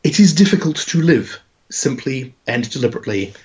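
Croaky man spinning a long idea. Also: mid-range voice, very animated, a little fast, darkish tone. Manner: rambling, builds ideas with long setups. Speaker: podcast co-host. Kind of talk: casual chat pitching a game idea. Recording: dull lo-fi podcast audio.

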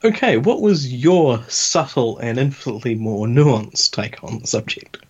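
A man's voice: medium pitch, expressive, breathy edge. A man chatting casually, brainstorming with a wry, joking manner. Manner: wry, quick to joke at ideas. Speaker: podcast co-host. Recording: dull lo-fi podcast audio.

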